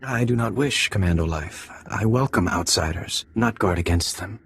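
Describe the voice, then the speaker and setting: A man voicing a solemn alien native in a video game, with a very gravelly voice and formal, stilted phrasing. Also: deep voice, moderate pace, heavily acted. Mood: wistful, solemn. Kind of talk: video game cutscene acting.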